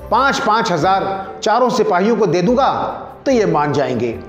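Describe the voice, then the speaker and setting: A man's voice: sits high, lively intonation, slow, soft background music. A man voicing a wily, domineering corrupt police chief in a story, scolding and commanding. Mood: grave, confiding. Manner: scolding, commanding, falsely sympathetic. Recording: roomy echo, dull lo-fi sound.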